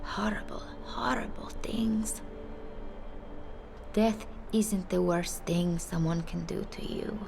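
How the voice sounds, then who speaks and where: A haunted village woman speaks very slowly with a gravelly fry, often sinking toward a breathy whisper. She is hesitant and somber, a fragile game character who senses the dead, with faint music behind her. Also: on the low side, fairly even intonation. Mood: haunted grief. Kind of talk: video game character dialogue.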